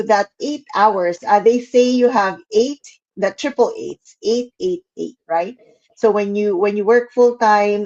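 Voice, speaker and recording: A woman's voice: medium pitch, darkish tone. Chatty giggly woman from the Philippines, co-host on a lo-fi video call. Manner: giggly, chatty, many fillers. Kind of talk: casual live chat.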